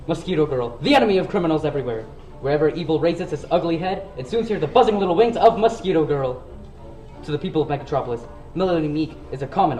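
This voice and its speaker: A man's voice: a little high, warm tone, natural voice. A man playing a melodramatic radio serial announcer, narrating in a grandiose manner. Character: dramatic old-time radio serial announcer. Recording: echoey room.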